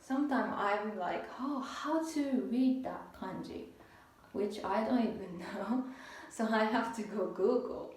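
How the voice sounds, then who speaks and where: A young adult woman from Japan, speaking English with a Japanese accent, giving a casual, halting language lesson as a tutor. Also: mid-range voice, a little mellow, distant mic. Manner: unhurried, halting, restarts phrases. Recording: echoey room, voice off-mic.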